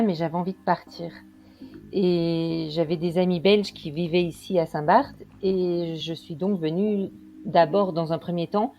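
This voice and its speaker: A woman's voice: on the low side, warm tone, lo-fi sound. A woman from Belgium, chatting as an interview guest and telling her story with frequent hesitations. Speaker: guest, quantum practitioner.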